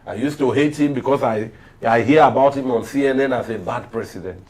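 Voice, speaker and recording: A man's voice: medium pitch, a little fast, a little mellow. A man with a Nigerian accent speaks on a political discussion panel. He tells his points like stories, emphatically, stopping and repeating phrases to drive them home. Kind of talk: political discussion, storytelling commentary.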